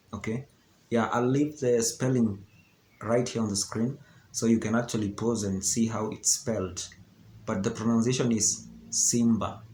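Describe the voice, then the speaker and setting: Kenyan man, low voice, roomy sound. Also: darkish tone. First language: not English. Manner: chatty, repeats points with "okay? yeah". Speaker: vlogger. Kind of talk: casual educational vlog talk. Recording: roomy echo with faint background hiss.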